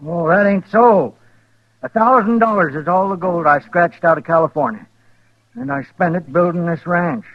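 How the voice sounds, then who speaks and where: An actor playing a meek, lonely rancher with a homespun, hesitant manner and a rural Western American accent in an old radio drama. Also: male voice, high voice, lively intonation, normal speed, no echo. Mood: guarded. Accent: rural American West. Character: folksy, lonely male rancher, meek old-West prospector. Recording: dry, muffled old radio recording.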